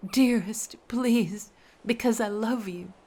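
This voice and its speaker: A woman's voice: on the high side, slow, warm tone. A woman voicing a lovesick young man who pleads and wonders in a raised, breathless whisper. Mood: pleading.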